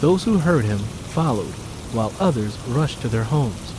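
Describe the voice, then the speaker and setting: A man's voice: medium pitch, animated, a little slow, noisy background. A man with an American accent reading dramatic scripted audiobook narration. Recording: rain and music behind the voice.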